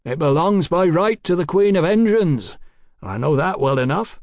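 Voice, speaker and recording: A man's voice: a little high, expressive, moderate pace, darkish tone, no room sound, clean studio sound, voice pushed up. A man voicing a defiant, blustering adventurer in an audiobook, emphatic and combative. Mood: defiant, taunting. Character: Doctor Mallory, defiant blustering male adventurer under siege.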